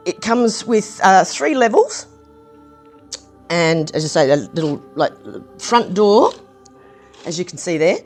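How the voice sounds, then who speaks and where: Slightly hoarse woman. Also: lowish voice. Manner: chatty and unhurried, many ums and restarts. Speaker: brick set reviewer, channel host. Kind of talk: casual hands-on product review.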